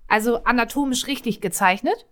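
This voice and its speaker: Woman, fairly high voice, casual co-host, enthusiastic, teasing. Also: a little fast, a bit bright. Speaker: podcast co-host. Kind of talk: casual chat.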